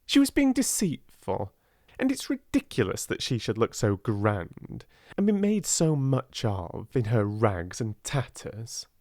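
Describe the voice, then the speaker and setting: A man's voice: medium pitch, wildly swooping pitch, a little slow, a little mellow, no echo, studio quality. A man with a British accent voicing a priggish, spiteful schoolgirl in an audiobook, snappish and self-righteous.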